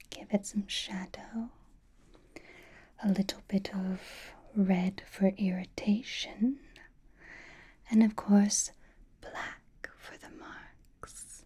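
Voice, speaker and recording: ASMR roleplay vampire, woman performer: slow, very breathy whisper, teasing, possessive, intimate and reassuring. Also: mid-range voice, fairly even intonation. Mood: coaxing, flirtatious. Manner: intimate, slow whispering with sighs and soft hums.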